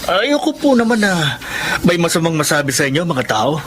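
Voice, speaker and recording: An actor playing an earnest, principled young grown son in a Filipino radio drama, respectful but firm. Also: male voice, on the high side, sing-song, moderate pace. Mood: earnest, reproachful. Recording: clear background noise.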